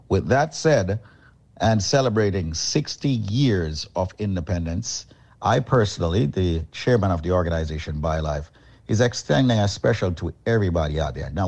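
A man hosting a radio show and pitching a product, brisk, emphatic and repetitive. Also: darkish tone. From Jamaica. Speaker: radio host and company chairman.

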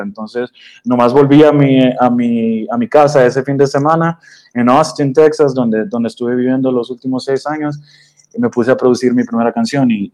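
A young man from Honduras answers interview questions at an easy, storytelling pace. His voice is dark and mellow, mid-pitched, and he talks with plenty of hesitations and restarts. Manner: hesitant, many fillers and restarts. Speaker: guest DJ and producer. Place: radio studio.

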